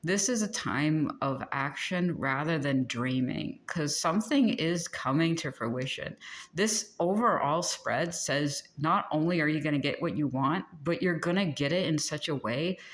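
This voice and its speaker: A woman's voice: sits low, a little slow. A woman giving a casual, earnest tarot reading to camera, chatty and rambling, often correcting herself mid-sentence. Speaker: tarot reader.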